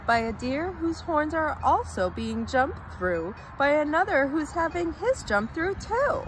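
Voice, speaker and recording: Animated high-voiced woman reading a storybook. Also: noisy background. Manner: theatrical, dramatic emphasis on rhymes. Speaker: storyteller reading a children's book aloud. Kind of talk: expressive read-aloud of rhyming children's story.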